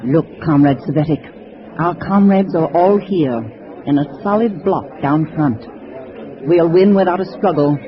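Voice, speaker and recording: An actress playing a confident, scheming communist woman, brisk and self-assured, in a 1950s radio drama. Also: deep voice. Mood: smug. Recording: muffled vintage radio, crowd behind.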